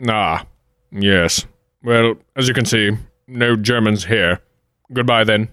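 Man acting a slow, smooth scheming villain. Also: fairly low pitch, sharp tone, dry recording, studio quality. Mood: feigned politeness. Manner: smug, measured, theatrical. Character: suave scheming German villain posing as an American. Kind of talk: 1940s-style radio play acting.

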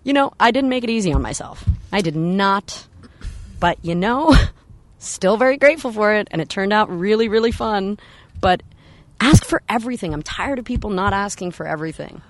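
Blunt low-voiced woman chatting. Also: expressive, normal speed, slight background noise. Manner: blunt, candid, swears freely, laughs often. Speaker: guest. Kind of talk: casual podcast chat.

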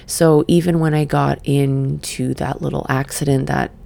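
A middle-aged woman who just woke up, leading a gentle breathing exercise and then reflecting in a soft, meandering way. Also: rumbling low, fairly even intonation, gravelly. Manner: soft, slow and meandering, with sighs and fillers. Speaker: podcast host and session coach. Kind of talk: guided breathing then casual reflective talk.

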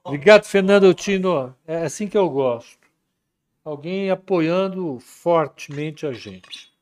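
Slow, laid-back man commenting on markets. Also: a little high. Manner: relaxed, chatty, reads viewer comments aloud. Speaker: market commentary livestream host. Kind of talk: casual live market commentary.

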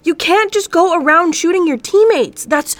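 A woman's voice: very high-pitched, animated, normal speed, no room sound. A woman playing an exasperated, bossy team medic in a comedy audio drama, indignant and scolding.

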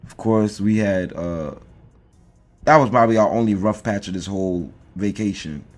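A man's voice: on the low side, moderate pace, darkish tone, faint music behind. A man co-hosting a podcast in casual chat, rambling and joking with lots of fillers. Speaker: podcast co-host.

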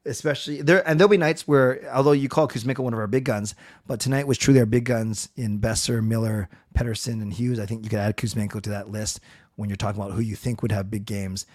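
A middle-aged man with a loud voice hosting a casual hockey livestream, rambling with fillers and restarts. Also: fairly low pitch, steady tone, quick pace. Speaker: livestream host.

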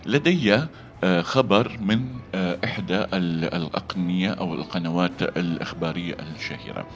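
A man teaching a voice-over lesson, halting with fillers and restarts between read-aloud demonstrations. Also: deep voice. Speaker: voice acting coach. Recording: dull lo-fi sound with faint music.

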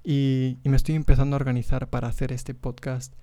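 A man's voice: mid-range voice, level tone, fairly quick, dark tone. A young man from Mexico who speaks with a Spain Spanish accent, hosting his own podcast in a casual, hesitant monologue full of fillers and restarts, recorded on a podcast microphone. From Mexico (Monterrey). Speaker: podcast host.

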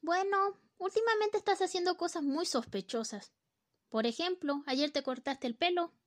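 A woman's voice: very high voice, normal speed. A woman in a student radio play acting a distracted, teasing housewife, with an exaggerated, sing-song delivery. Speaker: radio drama actor.

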